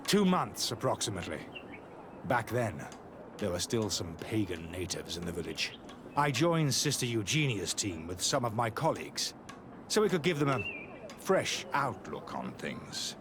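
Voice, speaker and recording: Guarded zealous monk, swooping intonation. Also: male voice, medium pitch, big pitch swings, unhurried, noisy background. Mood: zealous, earnest. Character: guarded, zealous male missionary monk and scholar. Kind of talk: video game cutscene acting.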